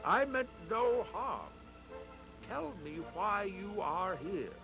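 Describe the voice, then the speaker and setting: An anxious, bewildered miser, put on by a male narrator for a storybook read-along. He pleads and questions politely in a raised voice, with music clearly playing behind him. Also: sits high, big pitch swings, slow, voice pushed up, exaggerated voice.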